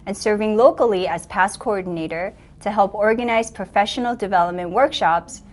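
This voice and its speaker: A woman's voice: medium pitch, fairly quick. Composed woman teacher trainer. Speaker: English instructor and teacher trainer. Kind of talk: scripted self-introduction.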